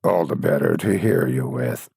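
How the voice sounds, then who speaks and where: A sly, hungry wolf from a storybook, as a male reader plays him. He coaxes and threatens in a deep, husky, gravelly voice. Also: normal speed, a little mellow, no echo, clean studio sound, full character voice. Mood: menacing. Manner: smooth-talking, wheedling, menacing. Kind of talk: fairytale storybook reading.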